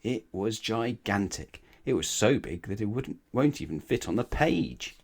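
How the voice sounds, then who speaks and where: Guy with a deep-ish voice reading a kids bedtime story out loud, expressive. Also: a little slow, creaky. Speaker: storybook reader. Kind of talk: expressive read-aloud of a children's bedtime story.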